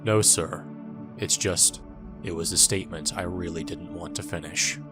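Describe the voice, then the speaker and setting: A man's voice: deep voice, fairly even intonation, normal speed, music in the background, lightly acted. A man playing a bitter wounded young soldier, narrating an audio drama in first person with a somber, wry manner. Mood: subdued. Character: bitter wounded young male soldier recounting his war.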